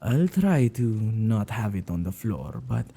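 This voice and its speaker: A man playing a playfully menacing, possessive male witch in an ASMR roleplay, with a dark, gravelly, husky voice that often drops to whispers and a teasing, drawn-out delivery. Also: on the low side, huge pitch swings, heavily acted. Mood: commanding. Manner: teasing, unhurried, with drawn-out words and hesitant repeats.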